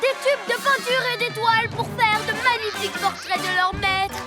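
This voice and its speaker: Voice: extremely high pitch, moderate pace. A voice actor playing a boastful, mischievous boy ninja villain in a children's cartoon, gloating theatrically. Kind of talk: animated children's series dubbing. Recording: loud music under the voice.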